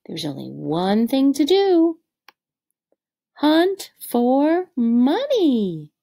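A woman voicing a practical, homely mother pig from a picture book, with expressive storybook delivery. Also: sits high, very slow, creaky, voice pushed up, exaggerated voice. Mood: rallying.

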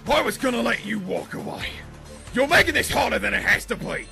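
A man's voice: extremely high pitch, animated, fairly quick, creaky, heavily acted. A man voicing a vengeful, bitter Tasmanian devil, menacing and self-righteous, in a comic dub. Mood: angry. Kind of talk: amateur comic dub voice acting. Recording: music loud behind the voice.

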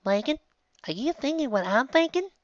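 A man voicing an excitable, bold young woman in a fan storybook reading. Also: very high-pitched, moderate pace, no echo, muffled recording, pitched above the performer's own voice. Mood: excited.

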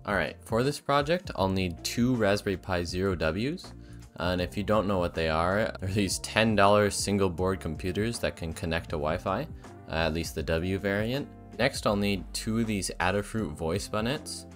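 Young man, deep register, informal how-to voiceover for a hobby build, light music underneath. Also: normal speed. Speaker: hobbyist project vlogger. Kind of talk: casual tutorial narration of a DIY electronics project.

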